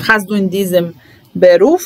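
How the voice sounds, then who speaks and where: A young woman teaching German vocabulary in a slow, deliberate drill, saying each word and repeating it calmly. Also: medium pitch. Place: small indoor room at a desk.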